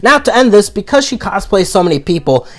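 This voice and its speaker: A man's voice: high voice, sing-song, fairly quick, a bit bright, soft background music. Froggy-voiced sick man, blunt and crude. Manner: blunt and profane. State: sick with a sore throat, froggy. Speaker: video reviewer. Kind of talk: casual comedic review monologue.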